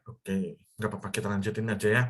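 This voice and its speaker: A man's voice: on the low side, little pitch movement, fast, fairly bright tone. A young man from Indonesia teaching an English lesson over a video call, explaining in simple steps and checking in with the students. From Medan, North Sumatra, Indonesia. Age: young adult. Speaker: online English tutor. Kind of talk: live virtual class teaching.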